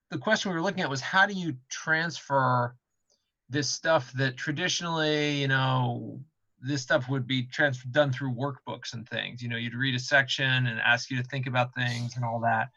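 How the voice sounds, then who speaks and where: Bright-voiced man explaining casually, dull audio. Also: mid-range voice, fairly even intonation, moderate pace. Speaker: game studio developer on a panel. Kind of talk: casual explanatory panel talk.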